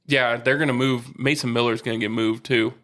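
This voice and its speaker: A man co-hosting a podcast in casual sports chat, steady and explanatory, slowing down to stress a point. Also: medium pitch. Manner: steady, explains and asks questions, slows for emphasis. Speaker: podcast co-host.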